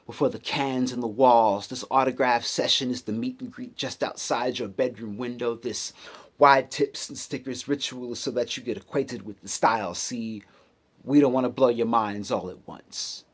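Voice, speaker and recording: A man performing spoken word poetry with a hip-hop cadence, rhythmic and emphatic, pausing deliberately between phrases. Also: mid-range voice, fairly even intonation. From United States city.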